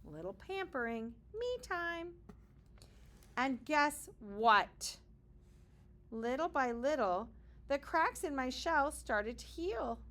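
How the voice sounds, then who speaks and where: Slow, higher-pitched woman reading to young children. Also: expressive, a bit bright. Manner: slow, deliberate, dramatic emphasis with short explanatory asides. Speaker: kindergarten teacher reading aloud. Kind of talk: expressive children's picture-book read-aloud.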